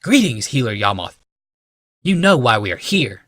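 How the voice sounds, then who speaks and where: A man's voice: mid-range voice, very animated, moderate pace, a bit bright, no echo, clean studio sound, voice pushed up. A man voicing a regal, dignified old woman elder in an audiobook, with a formal, stately and grave delivery. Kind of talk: audiobook character dialogue.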